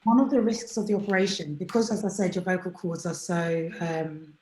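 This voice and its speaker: A woman's voice: lowish voice, brisk, echoey room, slight background noise, poor audio. A woman as an interview guest, talking candidly and animatedly, sometimes acting out what other people said to her. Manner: chatty, animated, acts out quoted people.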